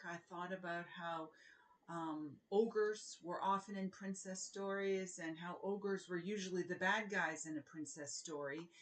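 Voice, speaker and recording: Slow-paced, expressive woman storyteller. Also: mid-range voice. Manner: expressive, deliberate storytelling with emphasized words. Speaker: children's author reading aloud. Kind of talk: children's read-aloud and informal author talk.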